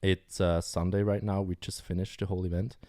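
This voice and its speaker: A man's voice: low voice, level tone, a little fast, dark tone, dry recording, clean studio sound. A young man hosting a casual podcast interview, speaking eagerly and a little nervously. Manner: eager, nervous, laughs often. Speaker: podcast host.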